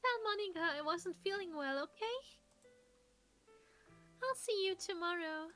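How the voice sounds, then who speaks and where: A woman's voice: very high-pitched, expressive, unhurried, sharp tone, soft background music, full character voice. A woman voicing a sweet teenage girl with an unsteady voice, hiding sadness behind forced cheer in a visual novel. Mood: forced cheer, tearful. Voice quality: unsteady, tremulous. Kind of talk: visual novel character dub.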